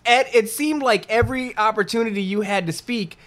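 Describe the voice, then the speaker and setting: A man co-hosting a podcast, talking in a chatty, animated, self-deprecating way. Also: high voice, fairly quick. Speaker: podcast co-host. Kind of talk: casual podcast chat.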